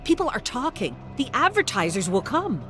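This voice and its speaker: A narrator as a passionate, animated woman talk-show host. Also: a little high, moderate pace, soft background music, pitched above the performer's own voice. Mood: determined. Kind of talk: dramatic audiobook character voice.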